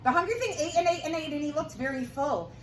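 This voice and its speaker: A woman teacher reading aloud to young children, expressive and prompting them with questions. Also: high-pitched, fast, distant mic. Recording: roomy echo with clear background noise.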